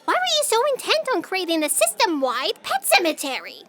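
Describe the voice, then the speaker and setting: A flippant young heroine, played by a woman, in an audio drama. The voice is extremely high, bright and very animated in its swings. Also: a little fast, faint music behind, exaggerated voice. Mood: indignant questioning. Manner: flippant, wry. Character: cheeky, irreverent young woman sleuth.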